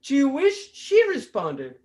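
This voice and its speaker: A man from Costa Rica with a Costa Rican Spanish accent, doing a stand-up comedy set over a video call, with a deliberate delivery that stops and restarts. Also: very high-pitched, huge pitch swings, a little mellow. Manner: deliberate, with restarts and self-amused laughs. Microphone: video call mic.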